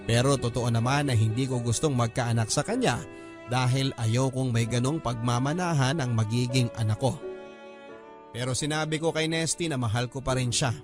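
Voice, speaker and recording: A man's voice: mid-range voice, moderate pace. A man with a Filipino accent reading a scripted first-person story as a radio drama narrator. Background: music.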